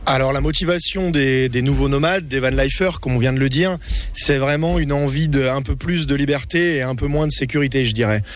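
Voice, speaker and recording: Man giving measured, reflective answers. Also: mid-range voice. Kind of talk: explanatory interview answers.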